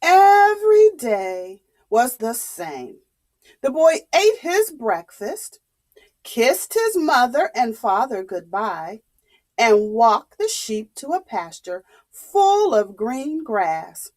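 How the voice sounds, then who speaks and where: A woman telling a story to young children in a dramatic, expressive, deliberate way. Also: fairly high pitch.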